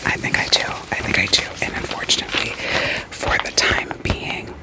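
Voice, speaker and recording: A woman whispering an ASMR roleplay as a therapist, in a soft, deadpan, gently questioning manner. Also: moderate pace, rough recording.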